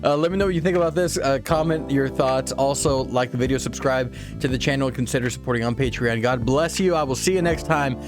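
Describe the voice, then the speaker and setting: A man's voice: medium pitch, fast talker, few pauses, over loud music. A man hosting a reaction video, speaking earnestly and often chuckling, quoting scripture to make his case. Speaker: host of a reaction channel. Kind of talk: casual reaction commentary.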